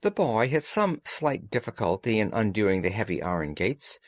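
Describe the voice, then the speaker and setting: A man reading a story aloud as an audiobook narrator, in an expressive scripted style. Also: lowish voice, normal speed, no room sound.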